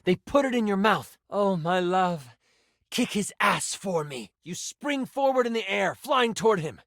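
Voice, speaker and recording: Animated game master. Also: male voice, high-pitched, a little slow, no room sound, clean studio sound, full character voice. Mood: dramatic, urgent. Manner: expressive, storytelling, playful. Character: theatrical male game master narrating a fantasy battle. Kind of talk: dramatic tabletop game narration in second person.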